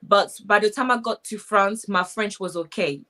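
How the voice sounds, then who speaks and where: Bright-voiced Haitian woman. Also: mid-range voice, normal speed. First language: Haitian Creole. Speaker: guest. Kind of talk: casual interview chat.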